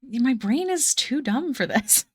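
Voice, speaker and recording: A woman's voice: high voice, animated, a little slow, husky. A young woman chatting casually with viewers while drawing on a live stream, giggly and self-deprecating.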